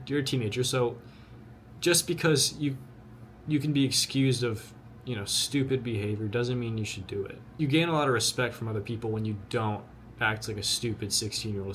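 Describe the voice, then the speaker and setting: Young man, twenty, earnest and blunt, repeats himself, mid-pitched voice, faint ambient noise. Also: a bit bright. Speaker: vlogger. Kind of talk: motivational talk to camera.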